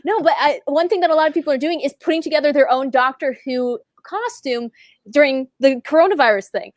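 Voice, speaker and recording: Woman, fairly high voice, dry close sound, giggly and animated. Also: fairly quick, dry recording. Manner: giggly, animated, laughs often. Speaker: panel guest. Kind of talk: casual panel discussion.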